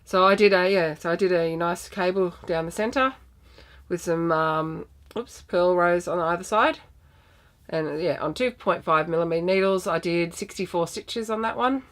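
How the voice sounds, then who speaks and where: An Australian woman hosting a knitting podcast, a bit croaky from a sinus infection, chatting in a rambling, friendly way with many ums. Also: fairly low pitch, normal speed. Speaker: podcast host. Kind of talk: casual knitting podcast chat.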